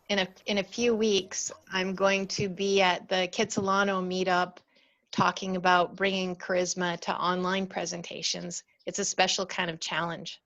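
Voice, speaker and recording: A woman's voice: fairly low pitch, fairly bright tone. Woman presenter on a dull video call. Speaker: storytelling coach and webinar presenter. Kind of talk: casual online webinar talk.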